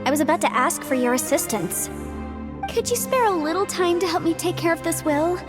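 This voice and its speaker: A woman's voice: extremely high pitch, brisk. A woman voicing a cheerful, articulate young legal advisor in an anime-style game, polite and a little sly. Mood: persuading. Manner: chatty, expressive, articulate. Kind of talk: anime game cutscene voice acting. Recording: music behind the voice.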